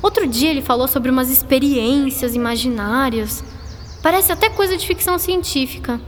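A woman's voice: high voice, moderate pace, natural voice. A woman playing a curious, chatty schoolgirl in a radio drama, with a youthful, informal, inquisitive way of talking. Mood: chatty, puzzled. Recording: long reverb, clear background noise.

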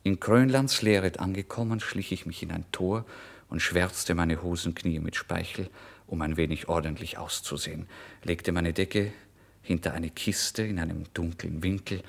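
A man narrating a literary first-person story in a brooding, expressive way, moving between hushed and emphatic delivery. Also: low voice, moderate pace, vocal fry. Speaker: audiobook narrator.

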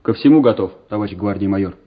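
An actor playing an earnest, courteous young junior lieutenant in a Soviet radio drama. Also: male voice, lowish voice, fast, lightly acted. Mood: earnest, respectful. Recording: muffled old radio drama recording.

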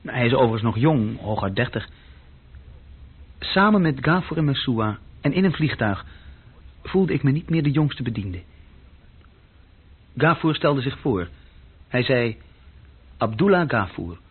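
A man's voice: mid-range voice, lively intonation, measured pace, pauses often, breathy edge. An actor playing an uneasy, insecure young junior clerk who tells his story hesitantly and intimately in a radio play. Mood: reflective. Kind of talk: radio play acting, intimate first-person narration. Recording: dull, muffled old radio recording.

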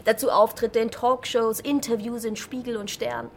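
An actress playing a sharp-tongued, sardonic animal-rights activist in a German radio crime drama. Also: female voice, fairly high pitch, fairly even intonation, normal speed, a little hiss or hum, natural voice. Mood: scornful. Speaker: activist and café owner.

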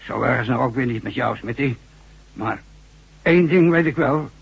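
A man's voice: medium pitch, normal speed, gravelly, breathy edge. A man acting a worried, matter-of-fact construction boss in a science fiction radio drama. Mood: grave, decisive. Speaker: actor in a radio play. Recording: dull, noisy old radio recording.

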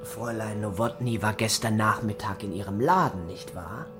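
An actor playing a smooth, menacing Nazi interrogator in a German radio drama, mock-polite and condescending, with deliberate pauses and drops into whispering. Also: male voice, on the low side, music in the background, close to an everyday voice. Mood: cold questioning.

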